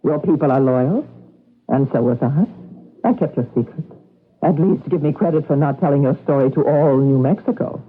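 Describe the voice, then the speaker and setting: An actor playing a cunning, controlling manipulator with a taunting manner in a 1980s radio drama. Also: male voice, a little high, moderate pace, faint music behind, close to an everyday voice. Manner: taunting, commanding. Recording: thin, muffled old radio broadcast.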